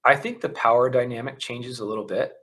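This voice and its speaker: A man's voice: mid-range voice, fairly even intonation, brisk, fairly bright tone. A man who runs a company, a guest in an interview, speaking candidly and thinking aloud with fillers. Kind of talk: interview conversation.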